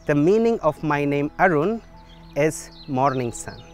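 A man, speaking in a thoughtful, measured way with pauses, recorded outdoors in a garden on a lapel mic. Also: on the high side, lively intonation, soft background music. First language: Hindi. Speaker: solar physics researcher. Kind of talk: documentary interview.

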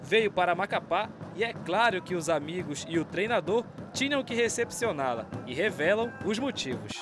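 A man's voice: high-pitched, normal speed, music in the background. Man reading a news report. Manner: steady, even news delivery. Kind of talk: scripted news narration.

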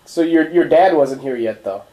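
A man interviewing a relative at home, asking gentle questions and nudging the story along with short replies. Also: on the high side, lively intonation, moderate pace, echoey room, rough recording. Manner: gentle prompting with short backchannels. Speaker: family interviewer.

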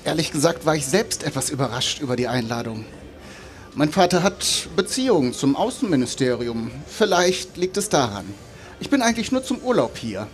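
Male actor Soviet military engineer, polite, guarded, lightly high voice, lively intonation, radio play. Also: normal speed, soft background music. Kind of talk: live radio play performance.

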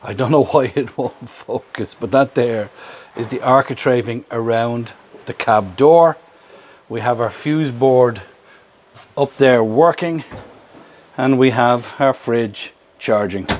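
A man's voice: medium pitch, slow pace, a little mellow. A man in his fifties with an Irish accent, hosting a casual camping vlog, chatty and upbeat as he explains the work, filmed on a camera held at arm's length in a workshop and a van. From Ireland. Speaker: vlogger host. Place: workshop yard and inside a van under build.

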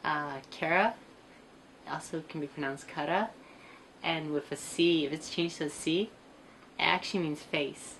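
This is a young woman whose first language is Cantonese, chatting as an interview guest. Her voice sits notably low, and she tells long, wandering stories at an easy pace. Faint ambient hum sits behind her in a small room. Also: a little slow, pauses often, fairly bright tone. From United States. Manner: easygoing, rambling storytelling. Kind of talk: casual chat. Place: small indoor room with computers.

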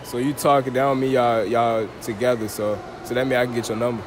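A man's voice: mid-range voice, little pitch movement, quick pace, background noise. Joking young man interviewing in a mall. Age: young adult. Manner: joking, teasing slang-heavy banter. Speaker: street interviewer. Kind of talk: casual street interview. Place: indoor shopping mall. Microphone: phone held out by hand.

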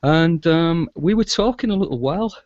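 A man's voice: on the high side, expressive, moderate pace, a little mellow, no room sound, muffled recording. A middle-aged man chatting casually as an interview guest, in a chatty manner, over a video call. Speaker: guest, audio drama producer and sound engineer. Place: small indoor room with shelves, video call.

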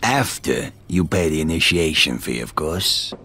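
An actor playing a loud, middle-aged American mobster with an East Coast city accent, husky, chummy but menacing. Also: male voice, rumbling low, sing-song, unhurried, heavily acted. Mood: firm, businesslike. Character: loud, profane middle-aged male mobster recruiting killers.

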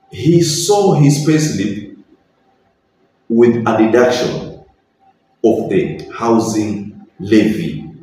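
A man's voice: medium pitch, mellow. A young man with a Kenyan accent giving earnest, opinionated political commentary to camera from a small bedroom, slipping in Swahili phrases. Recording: very echoey room, slightly distant mic.

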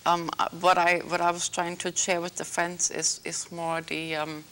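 Soft-voiced host woman from Algeria. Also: lowish voice, little pitch movement, moderate pace, smooth. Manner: gentle prompting with many fillers and restarts. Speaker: talk show host. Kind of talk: interviewing a guest. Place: small TV studio set.

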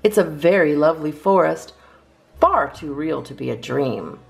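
A woman reading children's poems aloud as a storytime host, with expressive, measured phrasing. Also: deep voice, creaky.

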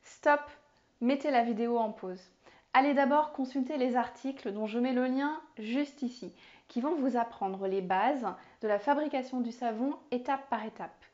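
A woman in her forties explaining soap making step by step in a home kitchen, with a clear, calm teaching manner and a few fillers. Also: a little high, normal speed. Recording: slightly dull lo-fi sound.

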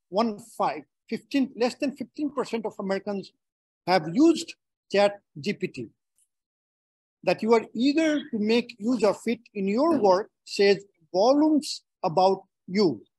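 A middle-aged man from Nepal with a Nepali accent gives a prepared webinar talk in a measured, phrase-by-phrase delivery. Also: sits high, darkish tone, breathy edge, no room sound, rough recording. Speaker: webinar speaker.

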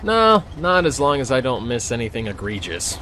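A man's voice: mid-range voice, big pitch swings, normal speed, sharp tone, slight background noise. A man playing a young park ranger in an audio drama, casual and easygoing. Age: young adult. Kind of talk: audio drama acting, conversational.

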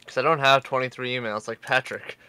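A man's voice: medium pitch, steady tone, brisk, pushed and bright, clean tone, no room sound. A man on voice chat with friends, exasperated and cursing, throwing in sarcastic remarks. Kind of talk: casual banter while gaming.

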